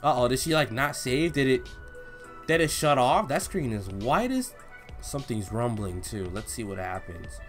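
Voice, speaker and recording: A young man giving an excited, slangy, casual reaction commentary as he reads along, gushing as host. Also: mid-range voice, normal speed, soft background music. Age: young adult. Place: home room with bookshelf, indoors.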